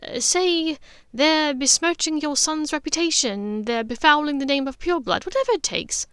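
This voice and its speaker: Audiobook role: warm witch, older sister female narrator acting, expressive, halting, raised pitch, dry sound. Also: no room sound. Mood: pleading, urgent.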